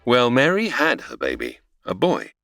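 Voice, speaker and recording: A man's voice: mid-range voice, wildly swooping pitch, moderate pace, over music. A man playing a senior angel who narrates an audio drama, explaining patiently but easily exasperated. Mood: storytelling. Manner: explanatory, storytelling.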